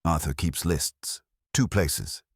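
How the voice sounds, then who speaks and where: A man's voice: extremely low pitch, slow pace, slightly rough, no echo, clean studio sound, full character voice. A man voicing a guarded, decisive society wife in an audiobook, terse and controlled. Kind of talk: audiobook character dialogue.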